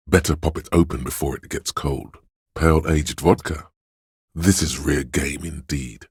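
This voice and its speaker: A man playing a seductive inner voice of addiction in a video game, narrating dramatically in a gravelly, savoring, coaxing way. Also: no room sound, clean studio sound, full character voice. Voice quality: gravelly, husky bass.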